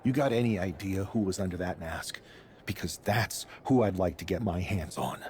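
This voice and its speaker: A man's voice: low voice, moderate pace, a little mellow, a little hiss or hum, natural voice. A man playing a dry, sarcastic, stubborn rookie pro wrestler in a video game cut-scene. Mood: dry, irritated.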